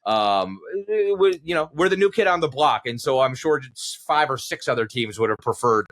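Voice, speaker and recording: Male, sports commentator in conversation. Mid-range pitch, measured, reflective delivery with emphasis on key points. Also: animated, fairly bright tone. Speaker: sports radio play-by-play announcer, podcast guest.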